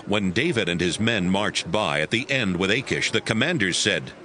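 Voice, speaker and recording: A man's voice: low voice, animated, moderate pace, crowd noise. A man narrating a dramatized audio Bible as scripted storytelling.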